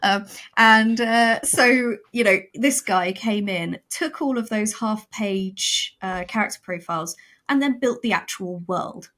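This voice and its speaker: A woman with a mid-range voice talks through ideas as a podcast guest. Her delivery is conversational, emphatic and animated, slipping into imagined quotes as she explains. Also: mid-range voice, measured pace. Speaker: guest, audio drama writer.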